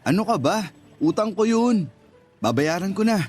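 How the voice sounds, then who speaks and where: Shy, oblivious young man, radio drama customer, high-pitched and plain-spoken, faint music bed. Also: animated, normal speed, a little mellow, breathy edge. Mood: innocent, puzzled. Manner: innocent, puzzled, plain-spoken. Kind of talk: Tagalog radio drama acting.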